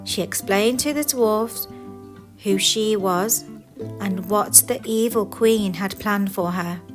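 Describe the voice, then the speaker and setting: A woman narrating a scripted children's fairy tale in an expressive, deliberate way. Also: mid-range voice, soft background music. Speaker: storyteller.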